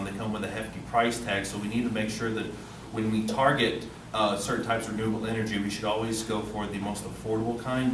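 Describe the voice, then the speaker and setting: A man answering as a candidate at a public forum, measured, with some fillers. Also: on the low side. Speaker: council candidate. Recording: echoey hall, distant mic, noisy.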